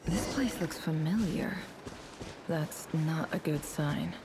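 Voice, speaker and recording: An actress playing a sly, commanding woman boss in a video game, with a deliberate, sardonic delivery. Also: deep voice, background noise. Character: sly, commanding woman boss, coolly teasing and self-assured.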